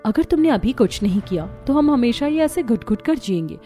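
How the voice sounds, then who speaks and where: A woman voicing a haughty, greedy young daughter-in-law in a cartoon drama, sharp and bossy, over music. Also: a little high, expressive, a little fast, natural voice. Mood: manipulative. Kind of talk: Hindi cartoon story dub.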